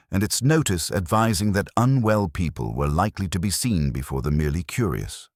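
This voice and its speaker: A man reading a detective story as scripted narration, measured and dryly understated, giving voice to its dialogue. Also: sits low, a little mellow, dry recording, studio quality.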